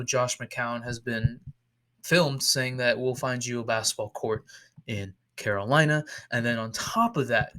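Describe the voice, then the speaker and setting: A man's voice: mid-range voice, fairly bright tone. A young man hosting a football mock draft video, talking casually with lots of fillers and restarts, his throat sore. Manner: chatty, rambling, frequent fillers and restarts. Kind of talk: casual solo commentary over screen.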